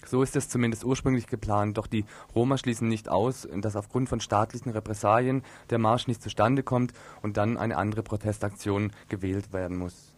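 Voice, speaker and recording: A man's voice: lowish voice, fairly even intonation, normal speed, hardly any pauses. A man working as a radio reporter, asking interview questions and reading news reports.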